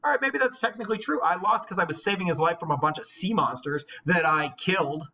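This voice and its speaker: A man voicing a cocky warrior who taunts a rival in mocking, animated, slangy modern speech. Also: sits high, quick pace, recorded from a distance, heavily acted. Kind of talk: comic casual paraphrase.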